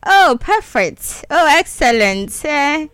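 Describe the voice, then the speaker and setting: Lively high-voiced Ghanaian woman caller. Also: takes it slowly, dry recording. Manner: lively, laughs and exclaims. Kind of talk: animated chat mixing Twi and English.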